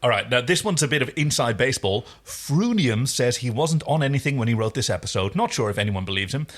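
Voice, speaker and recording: A man's voice: medium pitch, lively intonation, quick pace. A male podcast co-host with a mid-range voice. He chats casually and at length, leaning on words for emphasis. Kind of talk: casual chat.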